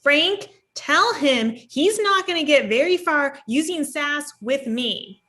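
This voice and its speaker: A woman's voice: high voice, animated, takes it slowly, pushed and bright, exaggerated voice. A woman playing a bossy, bitter ex in her thirties, blunt and demanding, in a comedy-drama table read.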